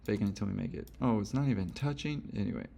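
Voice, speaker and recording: A young man with a hoarse, gravelly voice talks through a software tutorial in a loose, rambling way, full of fillers and quick self-corrections. His voice sits a little low, and the soft clatter of a keyboard and mouse clicks carries underneath. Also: lively intonation. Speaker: instructor. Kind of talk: screen-recorded software tutorial.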